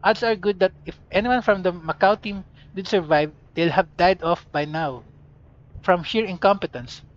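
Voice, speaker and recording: A blunt, shrugging mercenary woman who is unbothered by anything, as a man reads her in his own fairly high voice. The delivery is dry and plain, and it is heard through a dull, lo-fi recording. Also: normal speed, natural voice. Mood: offhand.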